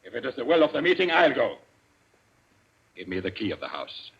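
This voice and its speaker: An actor playing a grave, authoritative secret society leader in a radio melodrama, speaking with deliberate drama. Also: male voice, medium pitch, very animated, creaky, a bit bright. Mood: grave, commanding. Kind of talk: old radio melodrama acting. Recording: dull, lo-fi old radio recording.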